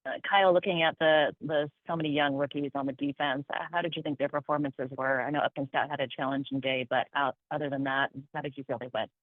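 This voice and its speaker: A man's voice: a little high, fairly even intonation, fast talker, few pauses, dry recording. A man working as a reporter, asking a coach questions on a conference call. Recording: dry, phone-like conference line.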